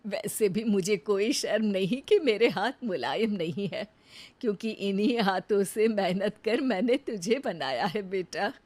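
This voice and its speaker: A woman voicing a kind, hardworking older village mother in a cartoon story, with a gentle, homely way of talking. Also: medium pitch, lively intonation, measured pace, no echo.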